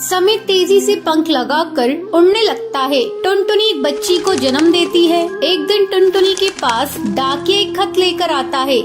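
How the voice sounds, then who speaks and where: A woman's voice: very high voice, expressive, measured pace, few pauses. A woman narrating a scripted cartoon story, with music behind her. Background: background music.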